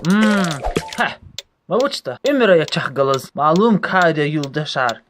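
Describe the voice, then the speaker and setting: A performer voicing a lively cartoon character who talks to friends in an animated, exclaiming way. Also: mid-range voice, measured pace, background noise, close to an everyday voice. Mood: chatty.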